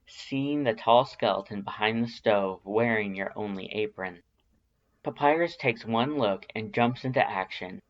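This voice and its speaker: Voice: mid-range voice, lo-fi sound. A narrator reading a scripted story expressively, in the manner of an audiobook. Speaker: fan fiction narrator.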